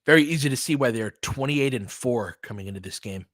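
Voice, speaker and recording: A man gives casual basketball play-by-play with an analyst's eye. His pitch sits in an ordinary male range, and he moves from short, clipped calls to conversational tactical explanation. The sound is dry, with very little room in it. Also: expressive, brisk, a bit bright, dry recording. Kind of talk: casual live game commentary with tactical asides.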